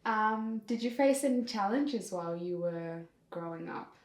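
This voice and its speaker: Slow-spoken woman host, echoey room. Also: medium pitch, animated. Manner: slow, gentle questioning. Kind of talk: casual interview questions.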